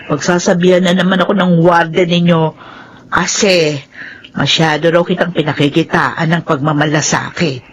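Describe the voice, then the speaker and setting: A woman's voice: sits low, moderate pace, husky. An actress playing a fond, fussy, motherly older prison worker in a Filipino radio drama. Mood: worried, scolding. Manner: fussy, animated scolding.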